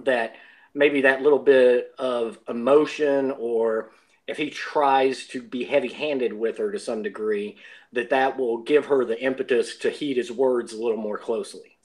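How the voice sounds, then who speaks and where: A man's voice: mid-range voice, steady tone, a little slow, low-quality recording. A man speaking as a guest in a casual discussion, hesitantly thinking aloud in long sentences. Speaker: audio drama cast member, podcast guest.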